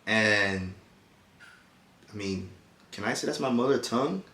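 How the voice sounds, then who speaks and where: A young man from Ghana whose first language is Twi, co-hosting a casual couple's chat in a relaxed, slangy way. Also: fairly low pitch, unhurried. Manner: laid-back, slangy, laughs often. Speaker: co-host. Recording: echoey room.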